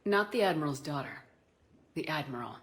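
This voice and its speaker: Game role: decisive, reassuring female starship commander, low, steady voice. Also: normal speed. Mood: calm, reassuring. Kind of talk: video game dialogue.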